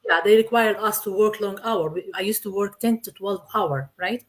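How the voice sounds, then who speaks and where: Earnest Syrian-born woman, halting English. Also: medium pitch. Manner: earnest, repeats herself, simple non-native English. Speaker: guest. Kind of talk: casual interview.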